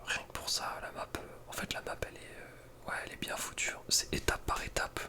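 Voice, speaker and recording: Whispering Frenchman, casual ASMR commentary. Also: brisk. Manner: casual, slangy, hesitant asides. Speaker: ASMR content creator.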